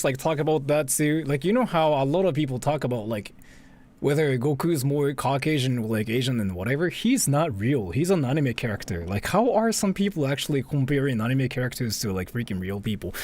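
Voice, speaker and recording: A man chatting casually as a livestream host, rambling and haltingly repeating himself. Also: mid-range voice, a little mellow. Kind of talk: casual livestream chat and reaction commentary.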